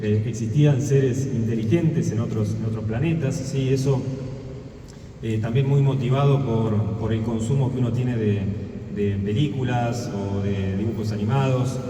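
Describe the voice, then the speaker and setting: A man with an Argentine accent talking to students, hesitant, with many pauses and restarts. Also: medium pitch, steady tone, dark tone. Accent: Argentine Spanish. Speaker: astrophysicist. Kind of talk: talk to students. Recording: echoey hall from far off, noisy.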